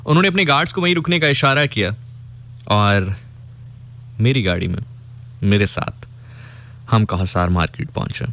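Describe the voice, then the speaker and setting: A man's voice: on the low side, moderate pace, pauses often, fairly bright tone. A man with a Pakistani accent, a radio host telling a story conversationally and reflectively, dropping in English phrases.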